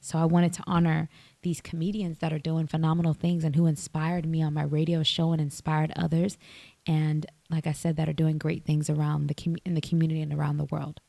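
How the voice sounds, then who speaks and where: A woman guest on a podcast, telling stories in an animated way and laughing often. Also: deep voice, fairly quick, gravelly, dry recording. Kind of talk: casual podcast conversation.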